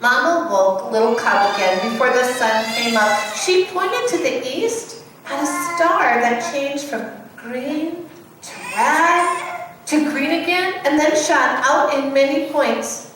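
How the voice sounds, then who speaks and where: Very echoey, animated woman reading a children's story. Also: high voice, takes it slowly. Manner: animated, questioning, addressing children directly. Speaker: children's story reader at a church. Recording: echoey room, distant mic, background noise.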